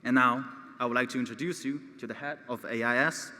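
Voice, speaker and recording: Teen boy speaker, stutters, earnest delivery, bright tone, hall reverb. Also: mid-range voice, fairly even intonation, a little fast. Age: teens. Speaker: gala speaker. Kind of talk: prepared speech.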